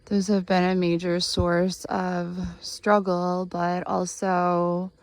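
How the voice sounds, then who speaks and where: A woman in her thirties leading a guided meditation outdoors, speaking gently and earnestly with long pauses. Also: on the low side, flat delivery, dry recording. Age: 30s. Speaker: guided meditation host, healer. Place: outdoors in the woods by a spring.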